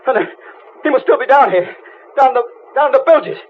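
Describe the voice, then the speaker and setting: An actor playing a haunted, guilty diver in a radio thriller, stammering and frantic with fear. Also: male voice, extremely high pitch, expressive, normal speed, slight background noise. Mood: panicked. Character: haunted, terrified male diver with guilty secret. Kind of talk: vintage radio thriller acting. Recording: muffled old radio drama recording.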